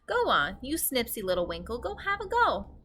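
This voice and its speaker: A woman's voice: on the high side, huge pitch swings, moderate pace, bright, forceful tone, full character voice. A woman voicing a big, kindly, bumbling male giant from a storybook, theatrical and earnest, mangling his words. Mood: teasing.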